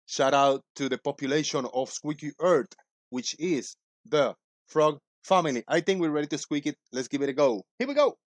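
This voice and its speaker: A man in his forties hosting a casual livestream, talking in a loud, joking, rambling way. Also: fairly high pitch, expressive, normal speed, no echo. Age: 40s. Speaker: livestream host.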